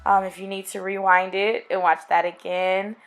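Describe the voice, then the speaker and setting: Young woman, raspy, getting over a cold, chatty tutorial voice, close camera mic, small room at home. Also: mid-range voice, flat delivery, moderate pace, faint music behind. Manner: chatty, addresses viewers as guys and girl. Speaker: hair tutorial vlogger. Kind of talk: casual step-by-step tutorial. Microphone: camera close up, braiding her own hair.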